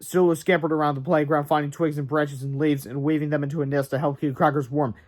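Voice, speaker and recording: A man's voice: fairly high pitch, flat delivery, quick pace, a little mellow. A man narrating a storybook aloud in a dramatic way, with casual asides.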